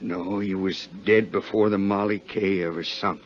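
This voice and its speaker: An American actor playing a nervous working-class sailor with rough colloquial speech, in 1950s radio drama style. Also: male voice, sits low, steady tone, normal speed, close to an everyday voice. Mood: grim, subdued. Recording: dull, noisy 1950s radio recording.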